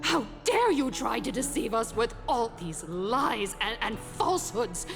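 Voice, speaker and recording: Game role: flustered, self-righteous female elder, lively swings, formal indignation, long reverb and music behind. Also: a little high, unhurried. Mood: outraged. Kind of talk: dramatic video game voice acting.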